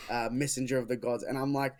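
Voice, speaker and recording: A man co-hosting a podcast in casual chat, excitable, swearing freely and tripping over restarts. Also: mid-range voice, fast. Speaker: podcast co-host, hobby voice actor.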